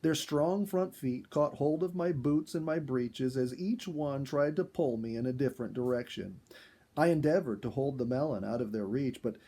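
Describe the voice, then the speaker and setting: A man reading a first-person animal story aloud in an expressive storyteller's style. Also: medium pitch, normal speed. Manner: expressive, acts out dialogue. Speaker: storyteller, reader.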